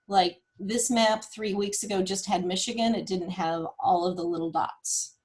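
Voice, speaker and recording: A woman, explaining data in a casual, measured way on a group call. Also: mid-range voice, fairly even intonation, darkish tone. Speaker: nurse presenting health data.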